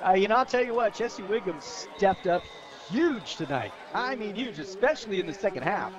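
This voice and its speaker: A man's voice: high-pitched, lively intonation, normal speed, dull audio. A man with an American accent giving live football color commentary in a conversational, folksy way. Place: outdoor football stadium broadcast.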